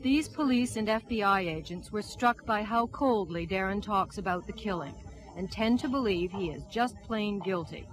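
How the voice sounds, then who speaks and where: A woman reading scripted television news documentary narration as a reporter, in a measured, dramatic storytelling manner. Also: mid-range voice, low-quality recording.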